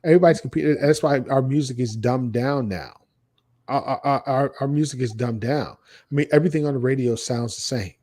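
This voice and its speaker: An American man co-hosts a casual podcast in a dark, relaxed voice at an average male pitch. He gives his opinions bluntly and repeats phrases to drive them home. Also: a little fast. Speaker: podcast co-host.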